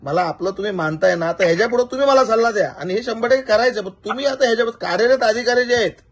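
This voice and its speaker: Quick, high-pitched Maharashtrian man on phone. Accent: Marathi, Maharashtra. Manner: deferential, questioning. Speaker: activist. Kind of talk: informal phone conversation. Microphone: phone call.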